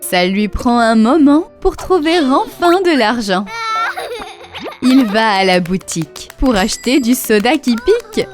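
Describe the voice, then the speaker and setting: A storyteller narrating a scripted children's cartoon in expressive, sing-song rhymes. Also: mid-range voice, unhurried. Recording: music behind.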